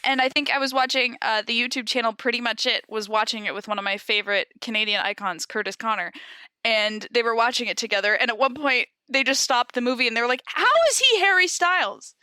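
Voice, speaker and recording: Female co-host, high bright voice, giggly, loose conversational delivery, close dry sound. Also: quick pace, clear, resonant tone, no room sound. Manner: giggly, animated. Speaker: podcast co-host. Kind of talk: casual chat.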